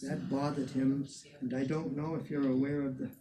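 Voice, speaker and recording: An older man, a museum curator, telling animated anecdotes to a small audience. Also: medium pitch, moderate pace, noisy background. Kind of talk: storytelling talk to an audience.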